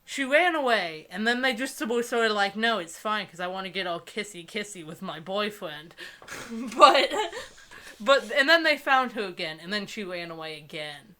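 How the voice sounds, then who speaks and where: A woman with a bright, forward voice at a middling pitch. She co-hosts a comedic book review, reading aloud dramatically and commenting on it in a casual, sarcastic way. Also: animated, moderate pace.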